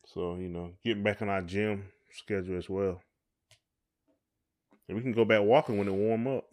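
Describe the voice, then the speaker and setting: A man's voice: fairly low pitch, steady tone, normal speed, a little mellow. A middle-aged man, chatting casually as a podcast co-host in a folksy, encouraging way.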